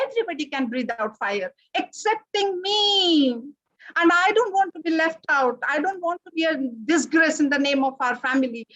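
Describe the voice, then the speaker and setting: A woman's voice: extremely high pitch, fairly bright tone, no room sound, muffled recording, voice pushed up. A woman voicing a timid young male dragon who pleads in a childlike voice.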